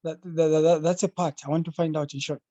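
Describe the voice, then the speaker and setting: A man's voice: on the high side, steady tone, fast talker, mellow, no echo, highs cut off. A young man from Zambia, a participant asking a question in an online meeting, earnest and repeating words as he finds his phrasing. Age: young adult. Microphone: video call.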